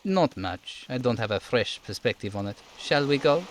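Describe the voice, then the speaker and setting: A man voicing a world-weary, curt and dismissive police lieutenant for a video game, in a measured, matter-of-fact way. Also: mid-range voice, lively intonation, natural voice. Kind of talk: amateur video game role voice acting.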